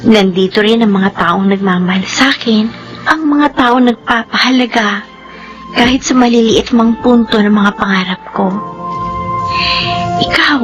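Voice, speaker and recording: High, earnest young Filipina daughter played by a woman. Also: a little slow, pauses often, close to an everyday voice. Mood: earnest, hopeful. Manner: earnest, tender, respectful. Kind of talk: Filipino radio drama acting. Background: music.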